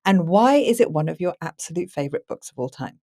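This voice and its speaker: Low-voiced woman hosting a podcast. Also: big pitch swings, quick pace, warm tone. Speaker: podcast host. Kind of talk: conversational podcast interviewing.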